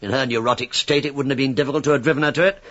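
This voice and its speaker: A man's voice: mid-range voice, steady tone, racing, a bit bright, muffled recording, close to an everyday voice. An actor with a British accent playing a shifty, sardonic man posing as a police inspector in a radio drama, dry, needling and mock-deferential.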